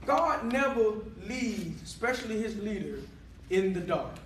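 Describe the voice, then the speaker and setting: A man preaching a sermon in a church hall, calling for responses and rising to shouts, recorded by a far-off camera. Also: high-pitched, expressive, unhurried, slight background noise. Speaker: pastor.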